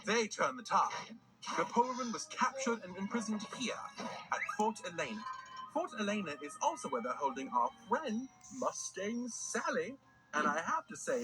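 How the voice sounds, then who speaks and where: A man's voice: high voice, full character voice, poor audio. A man voicing a sly mercenary adventurer in a video game, telling the tale in a theatrical, swaggering way. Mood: conspiratorial. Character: dashing, sly mercenary adventurer briefing a comrade. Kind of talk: scripted game character dialogue. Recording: music behind the voice. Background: music.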